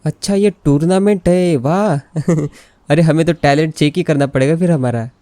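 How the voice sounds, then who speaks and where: A man's voice: a little high, racing, mellow, no room sound. A man with an Indian accent giving casual, informal gameplay commentary as a gaming video host. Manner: informal, chuckling, mixes in English slang. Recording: faint game music behind the voice.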